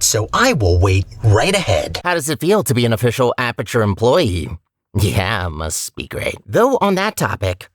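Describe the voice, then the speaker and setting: A man's voice: medium pitch, a bit bright, no room sound, studio quality. A man voicing a chatty, scheming robot core in a video game, nervously upbeat and sardonic, with a wry, rambling manner. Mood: wry, bargaining. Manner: rambling, wry, self-interrupting. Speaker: game character guide.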